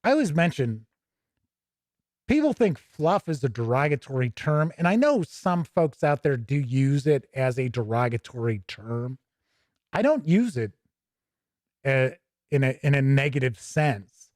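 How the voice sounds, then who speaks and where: A man's voice: mid-range voice, big pitch swings, measured pace, darkish tone, no room sound, studio quality. A man hosting a tabletop gaming show, chatting casually with asides as he reads aloud and comments on a livestream.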